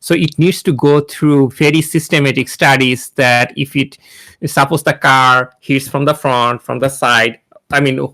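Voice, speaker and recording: A man in his thirties with a Bangladeshi accent speaks haltingly, full of "uh"s and restarts. His mid-pitched voice has a gravelly edge, recorded dry and close on a video call. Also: sharp tone, no room sound. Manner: hesitant, many fillers and restarts. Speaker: guest speaker, NASA engineer. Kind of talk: informal talk to students on a video call.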